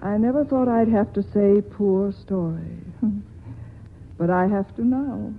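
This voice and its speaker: A woman's voice: medium pitch, slow, creaky. An actress in a 1940s radio drama playing a wealthy, worldly society woman, poised and dryly amused. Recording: tinny, noisy 1940s radio broadcast.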